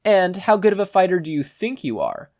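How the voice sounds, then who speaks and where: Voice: medium pitch, huge pitch swings, fairly quick, a little mellow, pitched above the performer's own voice. A podfic reader voicing a predatory lion-man hero mentor who goads and commands his student. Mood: challenging. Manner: commanding, goading.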